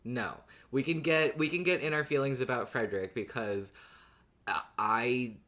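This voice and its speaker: A man's voice: mid-range voice, normal speed, pushed and bright. A man co-hosting a podcast, chatting and recapping in a casual style. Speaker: podcast host.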